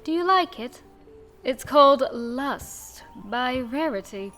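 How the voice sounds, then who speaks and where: A woman's voice: high voice, expressive, slow pace, fairly bright tone, faint music behind, exaggerated voice. A woman voicing a young pegasus mare shop girl in a fan fiction drama, pushy and a little catty. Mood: cheerful, salesy.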